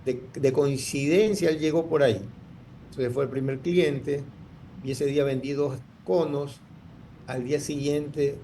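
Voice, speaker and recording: A man's voice: medium pitch, dark tone, slight background noise. A man, telling his story in an interview, rambling with many restarts. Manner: rambling, full of restarts and fillers. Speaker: guest entrepreneur.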